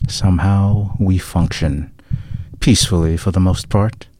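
A man performing a grave, declamatory social critic in a scripted dramatic monologue, with deliberate, solemn phrasing. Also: lowish voice, warm tone, lightly acted. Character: grave male orator condemning society's closed minds. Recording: clearly noisy recording with clicks.

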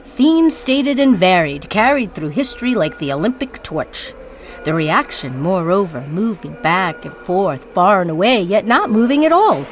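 Woman narrator, theatrical spoken word, incantatory deliberate phrasing, lively swooping intonation, soft music underneath. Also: medium pitch. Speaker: narrator and performer. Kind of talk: dramatic spoken-word monologue.

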